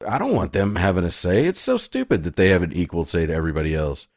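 Man, very low deep voice, blunt and crude, dry close sound. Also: sing-song, fast, darkish tone, no room sound. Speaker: podcast co-host. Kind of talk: casual chat.

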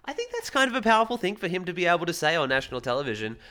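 A man's voice: high-pitched, very animated, rapid-fire, bright, forceful tone. An Australian man co-hosting a casual show recap, playful and joking. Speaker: podcast co-host.

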